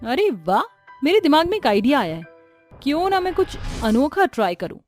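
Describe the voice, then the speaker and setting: Brief: actress as a young wife in a cartoon story, fairly high voice, faint music. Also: female voice, big pitch swings, normal speed. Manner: earnest, thinking aloud. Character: young village wife, engineer, later a witch.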